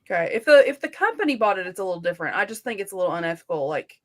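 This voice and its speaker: A woman in a casual book discussion as a co-host, talking informally with slangy phrasing and softened opinions. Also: medium pitch, big pitch swings, racing.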